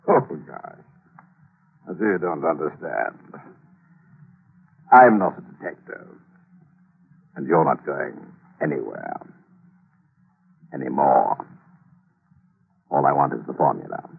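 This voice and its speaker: An actor playing a smug, sardonic Nazi spy in a 1940s radio thriller, with a gravelly, husky voice and a leisurely, toying manner. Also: male voice, rumbling low, sing-song, takes it slowly, pauses often, exaggerated voice. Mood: smug menace. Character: smug male Nazi spy villain with a gun. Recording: tinny 1940s radio recording.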